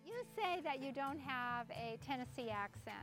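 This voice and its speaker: A woman's voice: high voice, animated, normal speed, a bit bright, soft background music. A woman hosting an interview, asking her guests questions in an effusive, enthusiastic way. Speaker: interviewer, host. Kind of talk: friendly interview.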